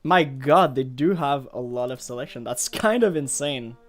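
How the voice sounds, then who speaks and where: A man's voice: medium pitch, normal speed. A man from Montreal, a native French speaker with a Quebec French accent, chats casually in English as he streams a game, rambling and hesitant as he thinks aloud. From Montreal, Canada. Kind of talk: casual live gameplay chat. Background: music.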